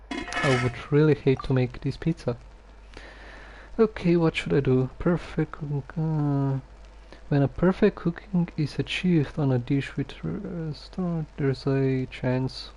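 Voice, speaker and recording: A man giving casual live gameplay commentary, haltingly muttering to himself as he plays. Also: on the high side, dark tone, slight background noise, rough recording. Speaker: game streamer.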